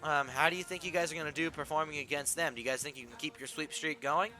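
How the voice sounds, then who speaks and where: Bright-voiced young man casting with a worn voice. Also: a little high, fairly even intonation, fast talker. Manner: rambling, chatty, talks to chat. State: losing his voice, slightly raspy. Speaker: esports caster and stream host. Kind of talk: casual play-by-play commentary with chat. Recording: music playing behind. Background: stream music.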